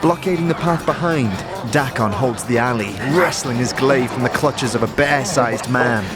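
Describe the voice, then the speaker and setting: A man narrating a fantasy audio drama in the second person, theatrical and deliberate, in short measured clauses. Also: medium pitch. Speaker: narrator and lead player of an audio series.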